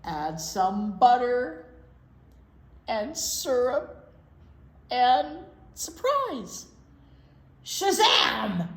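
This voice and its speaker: A woman reading aloud to small children as a storytime teacher, with expressive, drawn-out delivery and playful asides. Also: on the high side. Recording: roomy echo, faint noise.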